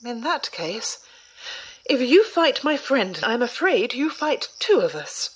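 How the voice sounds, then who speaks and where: A woman's voice: on the high side, big pitch swings, takes it slowly, slightly rough, heavily acted, poor audio. A woman playing a dry, protective martial-arts heroine in an audio drama, coolly reproving. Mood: cool, resolute.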